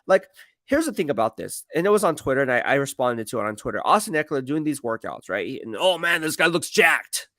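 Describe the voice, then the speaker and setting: A man's voice: mid-range voice, big pitch swings, a little fast, pushed and bright, no room sound, clean studio sound. A man chats casually on a sports live stream, rambling with slangy emphasis and often repeating himself as he answers viewers. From San Francisco Bay Area, United States. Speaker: sports streamer and football commentator.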